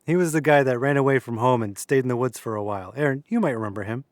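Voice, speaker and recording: A man's voice: mid-range voice, fast talker, no echo, clean studio sound, natural voice. An actor playing a frightened young man in an audio drama, urgent and prone to stammering and swearing. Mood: reflective. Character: frightened young man, shaken eyewitness, wary older friend. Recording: music bed under the voice.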